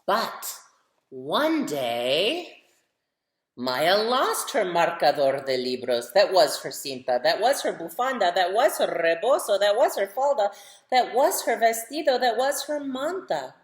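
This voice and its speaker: A woman's voice: medium pitch, big pitch swings, a little slow. A woman reading a picture book aloud to children, expressively, putting questions to her young listeners. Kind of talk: storytime read-aloud for children. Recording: roomy, echoing sound.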